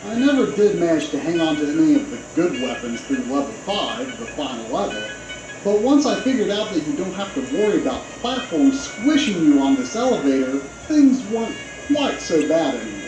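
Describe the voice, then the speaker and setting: A man's voice: fairly high pitch, very animated, fairly quick. A man narrating a scripted video game review in a conversational, opinionated way, with casual asides. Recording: roomy, played back with game music behind.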